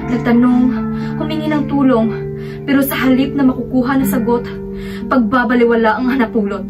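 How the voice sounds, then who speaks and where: Sorrowful high-voiced grieving sister played by a woman. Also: steady tone, a little fast, lightly acted. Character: grieving sister testifying about abducted sibling. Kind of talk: radio drama monologue. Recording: dull lo-fi audio under loud music.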